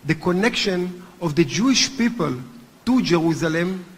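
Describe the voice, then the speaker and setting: A man's voice: sits high, expressive, slightly rough. Hebrew-accented man speaking in a reverberant hall. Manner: earnest, deliberate, with occasional restarts. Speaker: movement chairman giving a speech. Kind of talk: formal conference speech. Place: large hotel conference hall.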